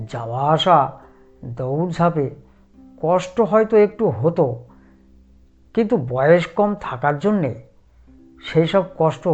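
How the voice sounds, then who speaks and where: A man narrating a scripted first-person horror story in a measured, dramatic way. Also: a little high, darkish tone, dull audio.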